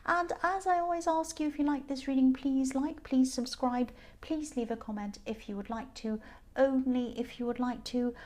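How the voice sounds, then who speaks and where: A woman reads tarot cards in a soft, rambling way, her voice a little croaky from tiredness. Her tone is mellow and dark, and she sits a bit higher than most women. She drifts through her thoughts with frequent ums and restarts. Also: fairly even intonation, moderate pace. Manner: gentle, meandering, many ums and restarts. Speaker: tarot reader. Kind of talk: casual tarot reading to camera.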